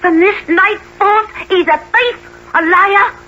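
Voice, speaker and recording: A woman's voice: very high-pitched, slow, pushed and bright, full character voice. A woman in a radio play playing a passionate young London street woman with a theatrical, pleading, defiant delivery. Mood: defiant, outraged. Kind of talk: family radio play acting. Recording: muffled radio sound with clear noise.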